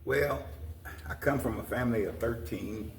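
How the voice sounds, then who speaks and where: An older man answering interview questions in a folksy, halting way with frequent pauses. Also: mid-range voice. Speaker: interview guest, community organization president. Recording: roomy echo, distant mic, faint noise.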